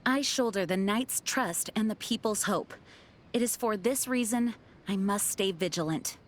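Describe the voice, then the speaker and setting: A woman voicing an earnest, dutiful young knight commander in a video game, speaking in a formal, measured way. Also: medium pitch, close to an everyday voice. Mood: earnest resolve. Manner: formal, measured, solemn diction. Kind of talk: video game character voice lines.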